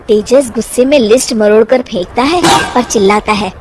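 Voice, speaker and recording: A woman's voice: a little high, normal speed, heavy background noise. A woman storyteller reading scripted narration for a cartoon story.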